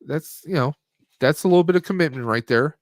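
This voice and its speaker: A man's voice: on the high side, brisk, dry recording, studio quality. A man hosting a live stream, giving a casual, rambling, jokey movie review.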